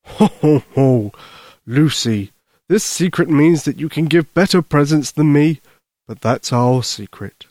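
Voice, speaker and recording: A man voicing a jolly, kindly old Santa Claus in a bedtime story, slow, gentle and reassuring. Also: fairly high pitch, dry recording, studio quality. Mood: jolly, tender.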